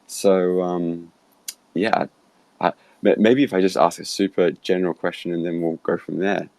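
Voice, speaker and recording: A man's voice: low voice, fairly even intonation, normal speed, darkish tone, no echo. An Australian man from Sydney hosting an online audio room, chatty and easygoing, laughing easily. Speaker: host. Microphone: online voice call.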